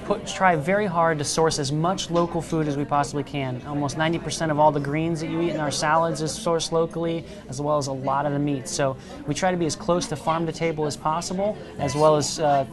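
A man in his thirties on a lapel mic, eagerly pitching his restaurant in a TV interview and agreeing with an upbeat "absolutely". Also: fairly even intonation, brisk, hardly any pauses, over music. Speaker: restaurant representative. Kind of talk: promotional TV interview. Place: large busy restaurant dining room. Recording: restaurant crowd chatter behind. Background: diners chatting.

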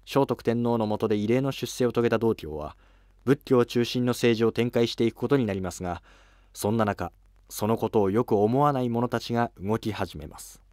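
A man's voice: mid-range voice, moderate pace, a little mellow, no room sound, studio quality. A man giving scripted narration as the narrator of a history programme.

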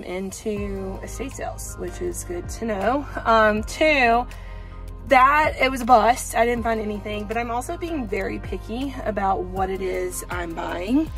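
A woman's voice: fairly high pitch, a bit bright, poor audio. Chatty woman rambling in a parked car. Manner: rambling, chatty, filler-heavy. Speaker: home decor vlogger. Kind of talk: casual chat to camera. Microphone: phone camera at arm's length.

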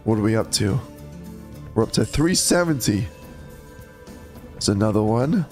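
A young man streaming an online slot game, chatting to viewers and breaking into loud yelps when it pays, though he is sick and losing his voice. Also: on the low side, lively intonation, unhurried, soft background music. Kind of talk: live commentary over online slot play.

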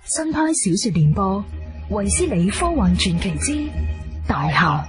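Expressive Cantonese woman announcer over loud music. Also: medium pitch, measured pace. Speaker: radio drama announcer. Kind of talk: dramatic scripted introduction.

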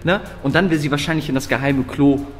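A man's voice: on the high side, quick pace, slight background noise. A young man talking playfully and casually to the camera as a vlog host. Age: young adult. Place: small studio room, basement indoors. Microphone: handheld camera at arm's length. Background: background music.

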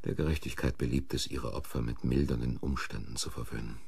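A man's voice: extremely low pitch, steady tone, fairly quick, vocal fry, darkish tone, slightly rough, dry recording, full character voice. A man acting a defensive, sardonic accused man in a German crime radio play, brooding and bitter. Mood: bitter, resigned. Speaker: actor.

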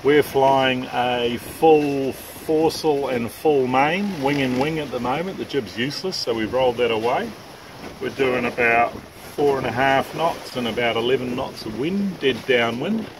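Man in his fifties, relaxed sailing vlog, camera at arm's length, a bit off-mic, wind and water noise. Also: mid-range voice, recorded from a distance. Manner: relaxed, matter-of-fact, with "uh" pauses. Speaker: sailing vlogger. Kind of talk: casual travel narration. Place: open deck of a sailboat at sea. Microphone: camera held at arm's length. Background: boat and water noise.

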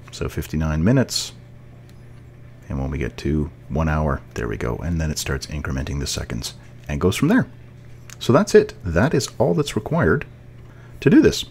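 A man with a deep voice narrates a casual screencast lesson. His intonation moves up and down freely as he explains each step. There is faint noise behind him. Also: a little mellow. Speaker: programming instructor.

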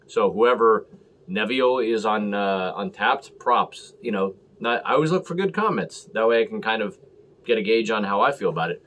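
An American man hosting a casual beer review to camera, talking in halting, clipped short phrases. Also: fairly low pitch, animated, fairly bright tone. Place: small room at home, indoors.

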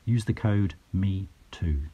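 A man reading a podcast introduction in a smooth, even scripted way. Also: deep voice, slow pace, very noisy. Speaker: podcast announcer. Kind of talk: scripted announcement. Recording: dull, muffled recording.

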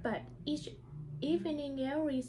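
A woman speaks in careful, halting non-native English, in the style of a teacher reading a children's storybook aloud. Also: sits high, fairly even intonation, background noise.

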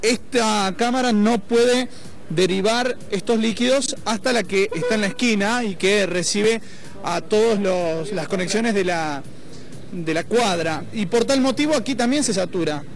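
High-voiced young Argentine male street reporter. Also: a little slow, gravelly, crowd noise. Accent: Argentine, Córdoba. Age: young adult. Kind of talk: live news reporting and interviewing. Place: outdoors on a city street. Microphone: handheld reporter microphone.

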